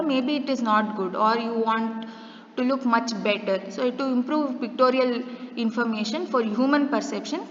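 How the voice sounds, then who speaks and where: A woman's voice: fairly high pitch, slight background noise. A woman giving a step-by-step lecture, posing rhetorical questions and using everyday examples. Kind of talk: recorded classroom lecture. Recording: muffled echoey room with long reverb.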